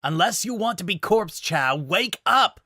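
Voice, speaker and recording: A man doing a cocky, tough young woman in a storybook reading who snaps out her words bluntly. The voice is raised and bright, with lively swings. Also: a little high, a little slow, no room sound, studio quality, voice pushed up. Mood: urgent. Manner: blunt and urgent.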